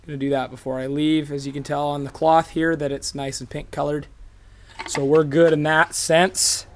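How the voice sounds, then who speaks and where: Hoarse man demoing his truck. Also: on the high side, moderate pace. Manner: relaxed, chatty, talking to the viewer while working. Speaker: car how-to video host. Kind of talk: casual hands-on demonstration. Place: outdoors beside his pickup truck. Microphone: camera held in one hand.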